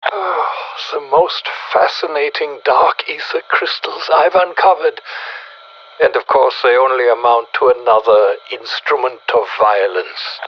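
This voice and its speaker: A video game scientist with a husky voice, heard over a dull radio link. He is formal and pedantic, fascinated by oddities and openly condescending. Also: medium pitch, a little slow. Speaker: scientist giving mission briefings. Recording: dull, muffled radio transmission.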